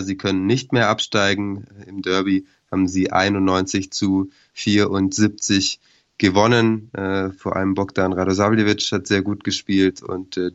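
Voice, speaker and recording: A man with a cold, hoarse, hosting a casual sports podcast and hesitating with many filler sounds. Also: low voice, fairly bright tone, highs cut off. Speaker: podcast co-host.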